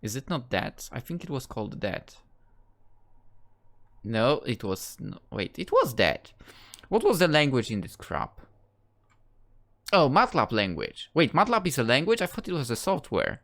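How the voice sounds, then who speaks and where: A man's voice: mid-range voice, wildly swooping pitch, a little slow, gravelly, pauses often, fairly bright tone. A man giving casual let's play commentary, rambling and thinking aloud. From Poland. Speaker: gaming YouTuber.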